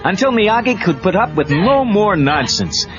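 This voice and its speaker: A man's voice: sits high, very animated, moderate pace. A man narrating a scripted children's read-along story as the storyteller. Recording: dull cassette tape under music. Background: music.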